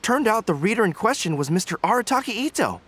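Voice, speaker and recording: An actor voicing an earnest, modest young officer in a video game, speaking politely. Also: male voice, high voice, sing-song, fast talker, a bit bright, slight background noise. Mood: friendly, modest.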